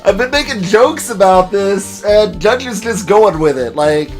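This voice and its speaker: A man's voice: sits high, lively intonation, a little slow, faint music behind. A man giving casual commentary as the host of a video game let's play.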